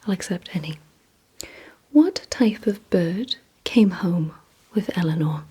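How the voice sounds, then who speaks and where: A woman doing an ASMR roleplay, mostly in a breathy whisper, giving gently commanding instructions in a deliberate manner. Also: on the low side, animated, poor audio. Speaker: ASMR creator playing a vampire giving memory and attention tests.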